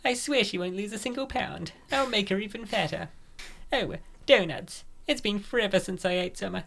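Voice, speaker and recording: A woman's voice: medium pitch, normal speed, gravelly, slight background noise, close to an everyday voice. A woman voicing a scheming, gluttonous young gym woman in a comic dub, coaxing and smug.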